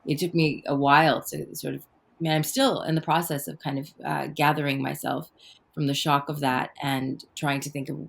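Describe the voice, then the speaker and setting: Low-voiced reflective woman guest. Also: little pitch movement, normal speed, creaky. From Afghanistan, raised in Canada. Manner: reflective, many fillers and restarts. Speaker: guest, activist and author. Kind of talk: conversational interview.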